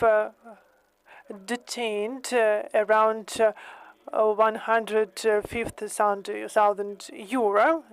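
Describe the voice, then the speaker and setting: A woman hosting and interpreting into English, speaking carefully with many pauses and fillers. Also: medium pitch, dry recording, poor audio. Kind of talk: slow consecutive interpreting in English.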